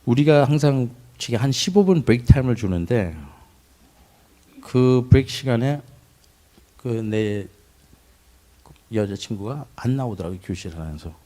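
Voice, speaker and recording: A middle-aged man giving a personal testimony, recalling his childhood in a reflective way with pauses and restarts. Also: mid-range voice, expressive, dark tone. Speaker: testimony speaker.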